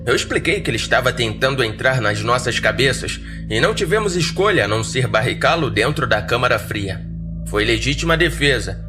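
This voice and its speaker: A man's voice: medium pitch, animated, fairly quick. A Brazilian man narrating a scripted horror story in the first person as a podcast narrator. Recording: roomy echo, faint music behind.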